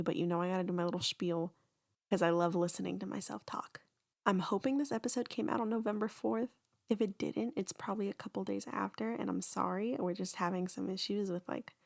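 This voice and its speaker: A woman's voice: mid-range voice, vocal fry. A woman hosting a podcast, talking casually and informally in a self-deprecating way. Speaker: podcast host and creator. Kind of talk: casual chatty podcast announcement.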